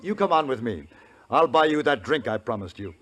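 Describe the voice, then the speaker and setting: An actor playing a genial, wry gentleman in a radio western, courteous and polished. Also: medium pitch, very animated, brisk. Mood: delighted. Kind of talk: 1950s radio drama narration and dialogue. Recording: old radio recording with music.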